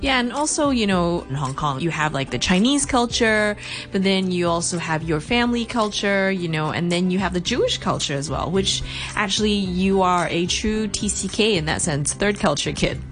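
Woman hosting a podcast, low, music behind. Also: expressive, moderate pace. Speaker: podcast host. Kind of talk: scripted intro and interview questions.

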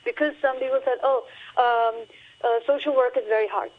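Young woman on a muffled phone line, higher voice, chatty and earnest. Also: fairly even intonation, fairly quick. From Hong Kong. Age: young adult. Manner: chatty, earnest, many fillers and restarts. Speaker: guest, recent graduate. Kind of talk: radio interview.

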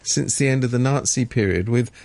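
Gravelly, indignant male radio commentator. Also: mid-range voice, fairly quick, darkish tone. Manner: indignant, sardonic, many restarts. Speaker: regular commentator. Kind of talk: radio studio discussion.

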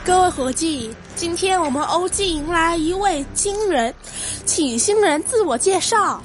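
A female performer as very high-voiced police colleague. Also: animated, a little slow, soft background music. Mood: brisk. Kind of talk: amateur school radio drama acting.

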